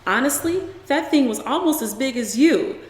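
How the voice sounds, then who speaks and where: A woman's voice: high-pitched, lively intonation, moderate pace, pitched above the performer's own voice. A woman reading a children's story as a squeamish, dramatic young mother who speaks in emphatic exclamations. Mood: disgusted, alarmed. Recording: roomy echo with long reverb.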